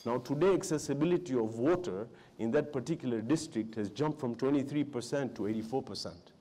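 A man's voice: medium pitch, brisk, warm tone. A man in his forties from Tanzania, speaking earnestly as a panelist on a conference stage, often repeating words as he starts a point. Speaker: panelist, businessman. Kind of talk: panel discussion. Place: indoor conference hall stage. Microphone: lapel mic.

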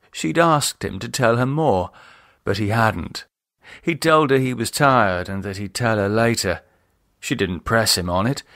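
A man's voice: mid-range voice, a bit bright, no echo, clean studio sound. A man with a British accent reading a novel aloud as scripted dramatic narration. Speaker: audiobook narrator and author.

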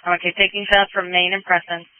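A man's voice: sits high, little pitch movement, fairly quick, clear, resonant tone, no echo. A man working as a police dispatcher, tersely relaying reports back over the radio. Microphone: radio transmission. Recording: tinny band-limited police radio.

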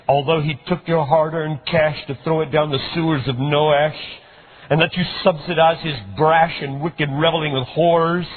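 A man's voice: on the low side, husky. A man voicing a resentful, dutiful elder son who speaks with bitter indignation, in a raised voice. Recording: tinny phone-like recording.

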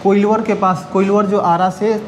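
High-voiced young showroom dealer. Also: male voice, little pitch movement, moderate pace, slight background noise. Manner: plain, matter-of-fact, short repeated answers. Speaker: used bike dealer. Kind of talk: casual interview answers. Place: indoor bike showroom. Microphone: clip-on lapel mic.

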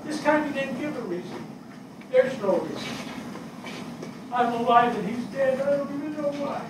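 An older man playing a grieving, bewildered fire captain in a staged dramatic reading, speaking plainly and haltingly. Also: very high voice, far from the mic. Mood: bewildered grief. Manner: plain-spoken, halting, searching. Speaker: actor in a staged play reading. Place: small indoor theatre stage before an audience. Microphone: distant camera mic. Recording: echoey stage picked up from afar, noisy.

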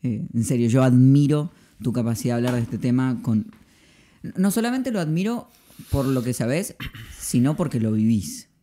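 Mellow Argentine man chatting on a podcast. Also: medium pitch, animated, moderate pace. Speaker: podcast host, pastor. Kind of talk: casual podcast conversation. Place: small indoor room. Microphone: podcast microphone on arm, close.

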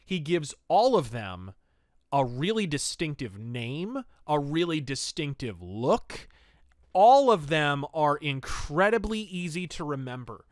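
A man hosting a podcast, giving an opinionated, conversational review in a casual, animated way. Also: a little high, slow pace, dry recording, studio quality. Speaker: podcast host reviewing pulp fiction.

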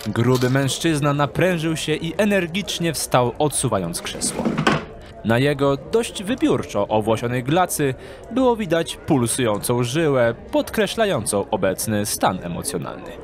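A man narrating a scripted dark fantasy audio drama in a measured storytelling manner. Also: mid-range voice, very animated, noisy background.

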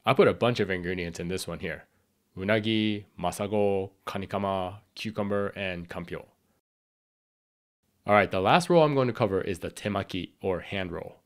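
A man with a slightly raspy voice from a cold, explaining sushi basics as the host of a scripted cooking tutorial. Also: low voice, moderate pace. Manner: clear, explanatory, friendly instruction. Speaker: sushi chef and channel host.

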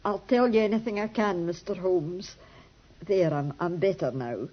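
Actress as an anxious, husky-voiced mother. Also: on the low side, moderate pace, lightly acted. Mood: grateful, composing herself. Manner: earnest, deferential, emotional. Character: anxious, grateful, respectable mother of a grown son. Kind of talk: 1950s radio drama acting. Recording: muffled 1950s radio recording.

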